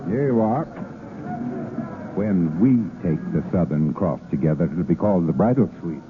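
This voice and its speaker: Ardent middle-aged boss, tinny old radio. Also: male voice, low-pitched, animated, normal speed, noisy background. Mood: genial, commanding. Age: 40s. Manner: earnest, ardent. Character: lovestruck, commanding 46-year-old male shipping boss. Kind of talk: 1930s radio drama acting.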